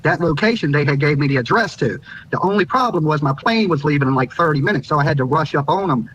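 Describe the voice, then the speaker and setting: A man's voice: on the high side, animated, fast, fairly bright tone, husky. A man from Virginia giving an informal live interview account as a guest, repeating phrases as he goes. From Virginia, United States. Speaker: YouTuber and news guest. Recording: dull, lo-fi remote link.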